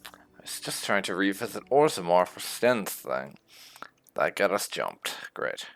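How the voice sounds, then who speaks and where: A man's voice: sits low, big pitch swings, a little slow, gravelly, a bit bright. A man hosting a gaming video, giving casual commentary and muttering to himself in short fragments over game music. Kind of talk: casual let's play commentary.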